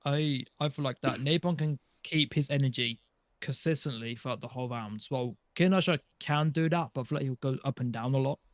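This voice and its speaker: A man's voice: mid-range voice, brisk, no echo. A man talking casually as a panelist on a podcast. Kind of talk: casual chat.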